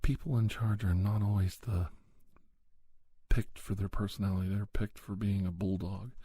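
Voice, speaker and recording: Male ASMR podcast host, low husky voice, reflective and measured monologue delivery, close and dry. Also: fairly even intonation, dark tone, no room sound.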